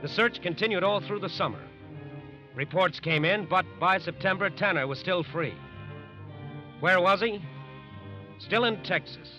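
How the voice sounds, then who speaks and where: A man's voice: sits high, measured pace, long pauses, bright, forceful tone. A man narrating a 1940s radio crime drama in an emphatic, suspenseful scripted style. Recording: muffled old radio recording with music. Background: music.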